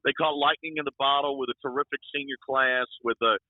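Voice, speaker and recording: A man's voice: medium pitch, fairly even intonation, fairly quick, dry recording. An American man, a sports announcer, giving conversational interview answers over a phone-like line. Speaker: radio play-by-play sports announcer. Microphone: phone or remote call. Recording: tinny, dry phone-like line.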